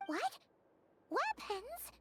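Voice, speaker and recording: A woman voicing a tiny, excitable childlike fairy companion in a video game, chirpy and exclamatory. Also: extremely high pitch, extremely slow, over loud music, full character voice. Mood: excited.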